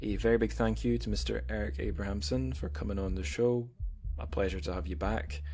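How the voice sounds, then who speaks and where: Low-voiced chatty male podcast host. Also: steady tone, brisk, a little mellow, faint music behind. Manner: joking, self-deprecating, chatty with many restarts. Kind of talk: casual interview chat and show outro.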